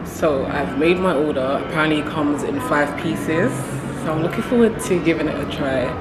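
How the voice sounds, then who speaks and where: A woman's voice: low voice, normal speed, loud music behind the voice. A woman, chatting casually to viewers as a travel vlogger on a handheld phone camera. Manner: chatty, addresses viewers as "y'all". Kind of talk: casual vlog talk to camera. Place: street and shops of a city, in and out of doors.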